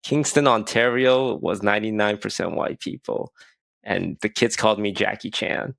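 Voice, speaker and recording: Man, from Malaysia, thirties, low gravelly voice, dry close sound, wry casual podcast talk. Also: normal speed, a bit bright, dry recording. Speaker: podcast co-host. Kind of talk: casual chat.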